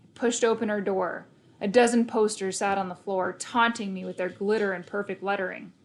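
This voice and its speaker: A woman's voice: medium pitch, steady tone, moderate pace. A woman narrating a children's novel aloud in the first person, expressively, with emphasis on key words. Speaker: storybook reader.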